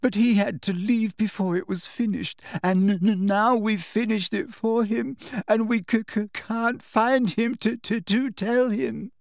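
Man doing a stammering, tearful teenage girl, high-pitched. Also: sing-song, takes it slowly, no room sound, clean studio sound, full character voice. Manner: stammering, gulping, shrill wailing. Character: teenage girl feigning tearful, desperate confession.

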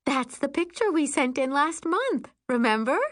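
An eager young child's mother, played by a woman narrator, raised in pitch and full of coaxing. She speaks in an animated, persuading way, as in an audiobook. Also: normal speed, no echo, studio quality. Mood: bright, coaxing. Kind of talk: audiobook character voice.

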